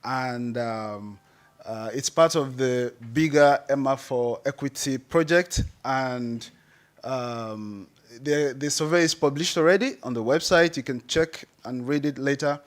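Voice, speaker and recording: A man's voice: mid-range voice, measured pace. A man introducing a survey at a conference, hesitant and with many fillers. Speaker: presenter. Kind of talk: conference presentation.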